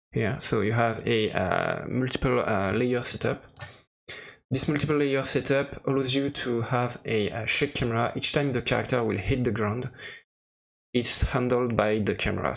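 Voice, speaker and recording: A man who speaks English as a second language, presenting an informal software demo in a halting, hesitant way with frequent pauses. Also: medium pitch, creaky. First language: non-native English speaker.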